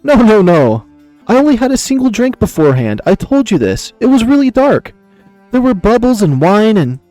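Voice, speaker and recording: A man's voice: high-pitched, very animated, normal speed, dark tone, no room sound, faint music behind, pitched above the performer's own voice. A man voicing a proud, playful young emperor in a visual novel, boastful and easily indignant. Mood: flustered, defensive. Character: proud young male emperor, cocky, playful, flustered when teased.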